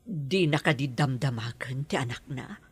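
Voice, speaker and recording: A woman with an Ilocano accent playing a worried middle-aged mother in an audio drama, in a husky voice. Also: rumbling low, expressive, normal speed, heavily acted. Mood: anxious, gentle. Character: worried mother guarding her adopted child.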